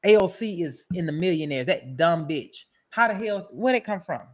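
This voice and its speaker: A woman's voice: on the low side, lively intonation, moderate pace. A woman giving casual, profane roast commentary as a show host, brash and mocking, rambling and repeating her phrases.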